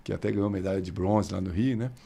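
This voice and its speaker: A man's voice: low-pitched, fast talker, vocal fry, warm tone. A man in his fifties with a Brazilian Portuguese accent, a guest chatting casually in an interview and often laughing as he tells stories. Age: 50s.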